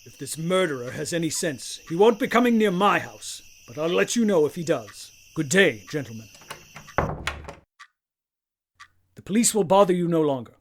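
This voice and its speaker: Give the professor a fairly high male voice acted by a man, courteous and controlled. He is a secret foreign agent in an audio play. His politeness carries understated menace. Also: sing-song, brisk, hardly any pauses. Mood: brisk, composed. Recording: home-recorded audio play, faint insect-like hiss.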